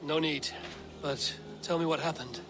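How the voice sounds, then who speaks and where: An actor playing a level-headed, battle-worn Viking warrior in a video game, measured and sober. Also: male voice, a little high, fairly even intonation, background noise, close to an everyday voice. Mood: questioning. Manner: measured, sober, archaic phrasing. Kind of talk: dramatic video game acting.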